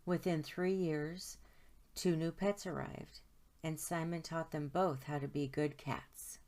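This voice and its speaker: A woman narrating a children's picture book aloud in an expressive storytime style, stretching words for emphasis. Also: deep voice, slow pace. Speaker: storytime host reading aloud.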